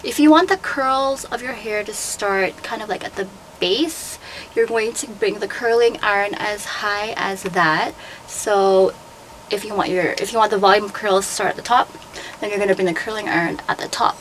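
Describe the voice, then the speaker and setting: A young woman with a sore, hoarse throat, chattily explaining step by step how she curls her hair. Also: medium pitch, moderate pace, fairly bright tone, low-quality recording. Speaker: beauty vlogger and hairstylist. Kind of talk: casual hair tutorial. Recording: noticeable background noise.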